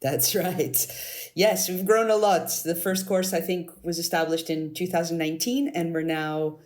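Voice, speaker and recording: A woman's voice: fairly low pitch, fairly even intonation, moderate pace, darkish tone. A woman from Canada answering interview questions as a board candidate, earnest and thinking aloud.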